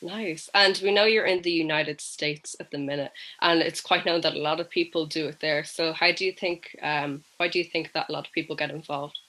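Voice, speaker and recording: A man's voice: fairly high pitch, steady tone, fairly quick, few pauses, sharp tone, rough recording. A man with an Irish accent hosting a casual interview over a video call, with lots of ums and short, friendly replies. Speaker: host.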